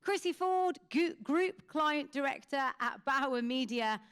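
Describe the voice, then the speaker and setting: A woman's voice: high voice, lively intonation, slow pace, a bit bright. A woman presenting live at an event, introducing guests in an upbeat, emphatic way. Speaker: presenter, session chair. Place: conference stage.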